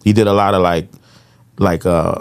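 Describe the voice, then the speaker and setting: A man's voice: low voice, fairly even intonation, moderate pace, clean tone, no echo. A middle-aged man talking casually and slangily as a podcast co-host, often checking in with tag phrases. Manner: slangy, frequent "you know what I'm saying" tags. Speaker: podcast co-host, music industry veteran.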